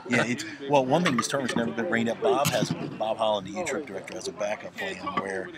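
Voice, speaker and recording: A man doing color commentary for softball, joking and chatting casually. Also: lowish voice, steady tone, fast, heavy background noise. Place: outdoor softball field. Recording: very loud crowd behind.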